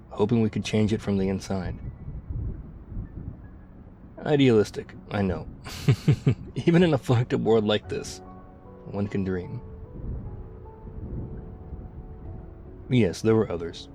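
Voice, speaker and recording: A man playing a battle-worn, wistful ex-soldier survivor of a zombie apocalypse, confiding haltingly and reflectively in an intimate ASMR roleplay. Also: fairly low pitch, mellow. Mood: nostalgic, wry.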